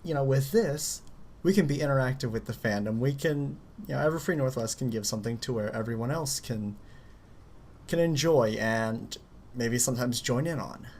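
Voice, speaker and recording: A man co-hosting a live radio podcast, talking in a rambling, relaxed way with lots of "you know". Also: mid-range voice, normal speed, slight background noise. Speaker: co-host. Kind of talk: casual live podcast chat.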